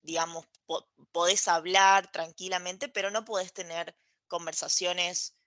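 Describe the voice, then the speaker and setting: A woman's voice: lowish voice, fairly even intonation, a little slow, a bit bright, no echo. A woman, explaining her experience as a podcast guest and checking in with tag questions. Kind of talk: casual conversation, explaining. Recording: dry, dull lo-fi audio.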